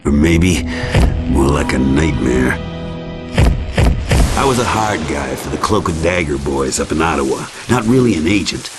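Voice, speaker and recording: An actor playing a gruff, bitter, defiant loner mutant man in a video game cutscene, terse and sardonic. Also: extremely low pitch, lively intonation, normal speed, long pauses. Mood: brooding. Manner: terse, sardonic, hard-boiled. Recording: loud music over the voice.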